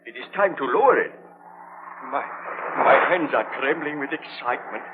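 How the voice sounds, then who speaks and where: An actor playing an obsessed, excitable baron and scientist in a melodramatic, declamatory 1930s radio drama style. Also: male voice, a little high, moderate pace, lightly acted. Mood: tense, dramatic. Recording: tinny, noisy 1930s radio recording.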